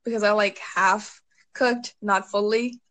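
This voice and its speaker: Woman from Bangladesh, casual chat, relaxed matter-of-fact delivery, dry close mic. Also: mid-range voice, measured pace, no echo. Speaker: conversation partner.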